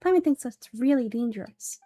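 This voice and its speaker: A woman voicing a small, excitable, childlike fairy companion from a game, squeaky and nervous. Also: brisk. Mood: worried. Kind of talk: game dialogue dub.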